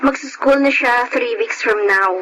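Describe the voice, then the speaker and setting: A woman's voice: fairly high pitch, normal speed, heavy background noise, close to an everyday voice. An actress playing a weary, frustrated young wife and mother in an emotional Filipino radio drama. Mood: pleading. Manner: emotional, plaintive.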